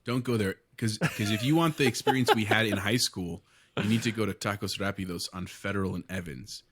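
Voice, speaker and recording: A man's voice: lowish voice, a little fast, a bit bright. A man co-hosting a casual gaming podcast, insisting on a correction. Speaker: podcast co-host. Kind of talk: casual chat.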